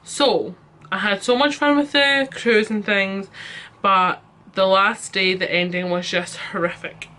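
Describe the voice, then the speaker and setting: A woman's voice: medium pitch, steady tone, a little slow, sharp tone. A young Scottish woman telling a storytime rant as a vlogger, chatty and exasperated, with lots of fillers.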